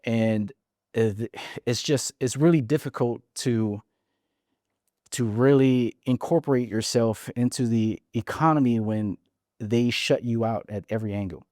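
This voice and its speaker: A man's voice: medium pitch, a little slow, darkish tone, no echo, studio quality. A man from Hawaii in his forties, a podcast guest frankly telling his story and often restarting his words. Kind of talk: casual interview storytelling.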